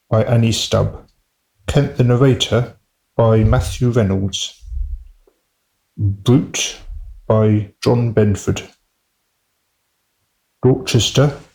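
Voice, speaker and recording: Male announcer for scripted end credits. Unhurried, gravelly fry. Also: on the low side, a little mellow, low-quality recording.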